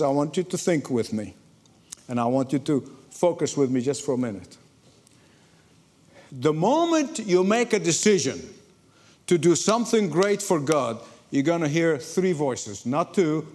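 A man from Egypt, preaching a sermon to a congregation in lively storytelling, often repeating words as he starts a sentence. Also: on the high side, moderate pace. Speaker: pastor. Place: church before a congregation.

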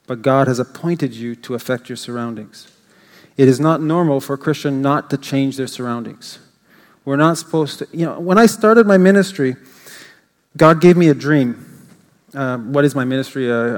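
Older Canadian man speaking in a church hall. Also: mid-range voice. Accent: English Canadian. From English Canada. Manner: chatty, rambling, with frequent restarts and fillers. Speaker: guest speaker. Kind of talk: informal church talk.

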